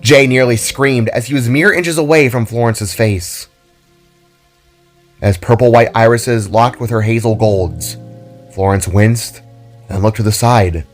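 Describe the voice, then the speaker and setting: A man's voice: mid-range voice, unhurried. A man narrating a dramatic scripted story as its storyteller. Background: music.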